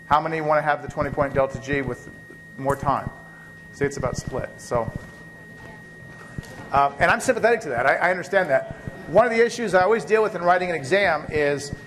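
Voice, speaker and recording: A man's voice: fairly high pitch, background noise. A male biochemistry professor giving a university lecture while catching a cold, speaking loosely with many fillers and restarts. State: catching a cold, occasional coughs. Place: lecture hall.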